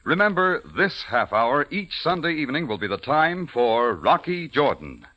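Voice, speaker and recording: A man's voice: a little high, very animated, moderate pace, bright, forceful tone, low-quality recording. A man with an American accent announcing in polished, emphatic 1940s radio style.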